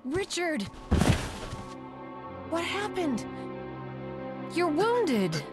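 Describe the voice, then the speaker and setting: A woman's voice: very high voice, sing-song, very slow, exaggerated voice. A woman playing an earnest, concerned young police officer in a dramatic video game, with emotive delivery. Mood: worried, caring. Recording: music behind the voice.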